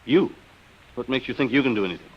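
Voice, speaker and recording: A man's voice: medium pitch, quick pace, breathy edge, close to an everyday voice. An actor playing a bitter, beaten businessman and father, plain-spoken and sardonic, in vintage radio drama style. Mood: troubled. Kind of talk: 1950s radio drama acting. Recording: muffled, band-limited old radio recording.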